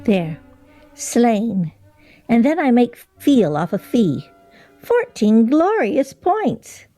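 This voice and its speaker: A woman's voice: on the high side, very animated, slow, mellow, soft background music, heavily acted. An actress playing an earnest, self-conscious woman in a radio play, with a hesitant way of talking. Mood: wry.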